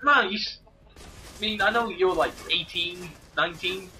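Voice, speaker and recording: A man's voice: high voice, normal speed, bright, forceful tone, slight background noise, low-quality recording. A man with an English accent, joking, ranting and telling anecdotes in casual gaming commentary chat. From England. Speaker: co-host.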